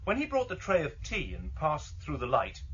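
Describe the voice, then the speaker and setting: An actor playing an earnest, determined exile on a search in a radio drama, with a slightly husky, intense voice. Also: male voice, a little high, huge pitch swings, moderate pace, fairly bright tone, lo-fi sound, slight background noise. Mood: thoughtful, resolved.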